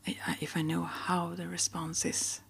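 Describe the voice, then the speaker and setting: A woman speaking in a gentle, hesitant, rambling way, as an ASMR creator chatting to her viewers. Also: fairly low pitch, flat delivery, moderate pace, gravelly, breathy edge. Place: small upstairs apartment.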